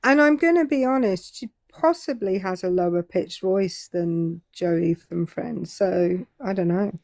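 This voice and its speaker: A woman's voice: medium pitch, a little slow, vocal fry, a little mellow, no room sound. Joking British woman, dry close sound. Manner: joking, self-deprecating. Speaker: co-host. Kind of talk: casual live stream chat.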